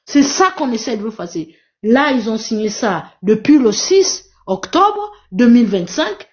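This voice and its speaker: A high-voiced woman whose first language is Portuguese speaks on a live online panel, pressing her points with emphatic repetition. Her voice comes through dull, lo-fi stream audio. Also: big pitch swings, unhurried, a little mellow. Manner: emphatic, repetitive, exhorting the audience. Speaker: live stream moderator and activist. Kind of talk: impassioned live panel talk.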